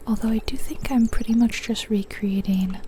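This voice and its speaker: A young woman whispering softly as she plays a building game, a little sniffly from a cold, musing to herself. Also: on the high side, fairly even intonation, normal speed, a little hiss or hum. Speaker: ASMR gaming streamer. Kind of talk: whispered ASMR gameplay commentary.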